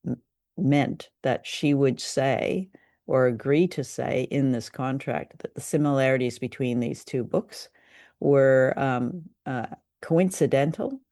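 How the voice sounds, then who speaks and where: A woman co-hosting a conversational review show, speaking in measured, hesitant phrases. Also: rumbling low, mellow, dry recording, clean studio sound. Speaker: podcast co-host. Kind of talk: conversational radio drama review.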